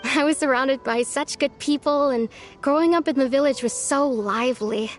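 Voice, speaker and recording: A woman voicing a gentle, lonely young village woman in a video game, speaking softly and earnestly. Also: sits high, moderate pace, close to an everyday voice. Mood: wistful. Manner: soft, wistful and earnest. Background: faint game music.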